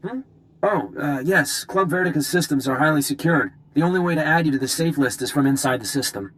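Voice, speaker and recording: An actor playing a nervous, bookish computer hacker with a hesitant manner in a video game. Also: male voice, fairly high pitch, fairly quick, a bit bright, natural voice, rough recording. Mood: flustered. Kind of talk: video game cutscene dialogue.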